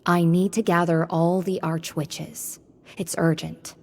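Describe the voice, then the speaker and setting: A woman's voice: on the low side, flat delivery, takes it slowly, a little mellow. An actress playing a determined, earnest witch rebel in a video game cutscene. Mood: urgent, resolute.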